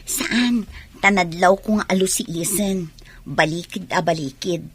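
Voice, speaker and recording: A woman with an Ilocano accent playing a confiding character in a family radio drama, with a husky, breathy voice. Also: lowish voice, measured pace. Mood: concerned.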